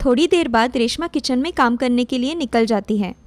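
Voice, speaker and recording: A woman's voice: fairly high pitch, fairly quick. A woman reading a scripted moral story as its narrator.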